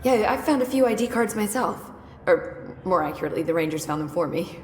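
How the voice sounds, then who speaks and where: An actress playing a witty, curious young research scientist in a video game, explaining things in a precise, wry, chatty way. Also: female voice, fairly low pitch, expressive, a little fast, a little mellow, natural voice. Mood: chatty, playful. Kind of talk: video game dialogue acting. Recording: echoey hall reverb with noisy game ambience.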